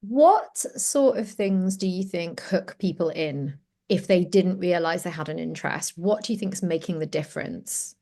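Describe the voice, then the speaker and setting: A woman's voice: lowish voice, moderate pace, warm tone. A woman from Cardiff with a South Welsh accent, hosting a podcast interview in an effusive, gushing way. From Cardiff, South Wales. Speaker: podcast host.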